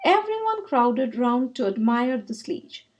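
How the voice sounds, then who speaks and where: A woman's voice: a little high, sing-song, unhurried, a little mellow. A woman narrating a children's book read aloud as a storyteller.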